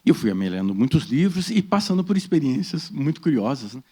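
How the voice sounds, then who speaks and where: Brazilian Portuguese accent, middle-aged man, lively up-and-down delivery, panel-talk storyteller who voices the people he quotes. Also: mid-range voice, fast talker, breathy edge. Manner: animated storyteller, acts out quoted dialogue; frequent "né?" tags. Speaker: debate panelist. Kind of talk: personal talk at a panel debate.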